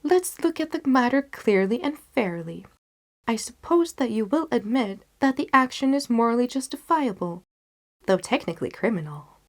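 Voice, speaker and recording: Female reader as gentleman detective, storybook character, raised pitch, confident, articulate, emphatic, determined. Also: expressive, normal speed. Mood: earnest, resolute. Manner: emphatic, articulate, self-assured.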